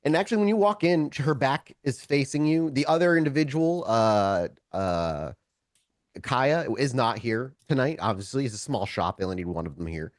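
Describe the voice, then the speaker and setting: A man working as game master, improvising descriptive narration in a conversational way, often pausing for drama and correcting himself mid-sentence. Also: mid-range voice, lively intonation, no room sound, clean studio sound. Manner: halting, self-correcting, dramatic pauses. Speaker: storyteller, game master of a tabletop roleplay show.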